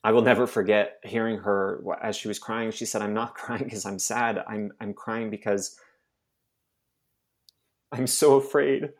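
Man, young adult, from Arizona, lower pitch, halting, emotional, interview guest. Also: fairly even intonation. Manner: halting, many restarts and fillers, emotional. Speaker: nonprofit staff member, guest. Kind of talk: candid personal interview.

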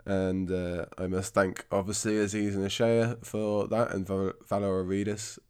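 A man's voice: low-pitched, little pitch movement, moderate pace, darkish tone, clear, resonant tone. A man from England hosting a book podcast in a chatty, self-deprecating way, his voice congested and his throat scratchy from a cold. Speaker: podcast host. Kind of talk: casual book podcast monologue.

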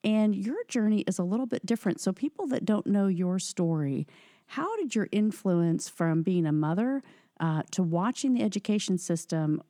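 Mellow-voiced woman hosting a podcast. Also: mid-range voice, no echo, studio quality. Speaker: podcast host. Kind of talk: interview podcast.

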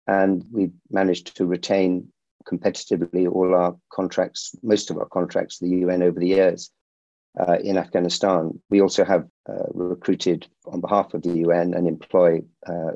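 A middle-aged man who grew up in India, a security company founder answering interview questions in a measured way with frequent restarts. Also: low-pitched, flat delivery, gravelly, warm tone, dry recording, lo-fi sound.

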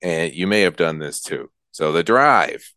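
Male podcast host, jokey and teasing, deep smooth voice, dry close sound. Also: animated, a little slow, sharp tone, no room sound. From Rhode Island. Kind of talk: casual interview conversation.

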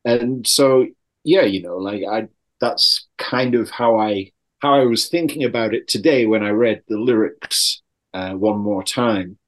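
Low-voiced man chatting tentatively. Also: measured pace, poor audio. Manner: tentative, hedging, frequent "like" and "you know". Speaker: podcast panelist. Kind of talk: casual discussion of song lyrics.

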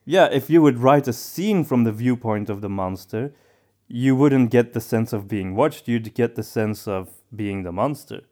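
A man's voice: mid-range voice, lively intonation, normal speed, warm tone. A man co-hosting a podcast, casually discussing film in a reflective, musing way, sometimes repeating words as he thinks. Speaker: podcast co-host.

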